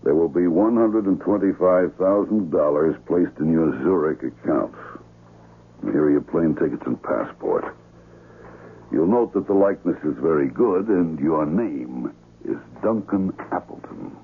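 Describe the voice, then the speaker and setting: An actor playing a cool, businesslike handler of contract killers in a 1970s radio drama, formal and authoritative. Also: male voice, very deep voice, animated, measured pace, breathy edge, full character voice. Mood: firm, instructing. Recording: muffled, phone-like vintage radio.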